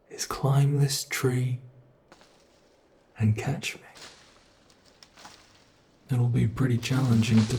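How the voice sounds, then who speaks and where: A man playing a sadistic monster from under the bed, toying with human prey in a horror ASMR roleplay, slow, taunting and theatrical, with a creaky voice. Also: medium pitch, mellow, a little hiss or hum.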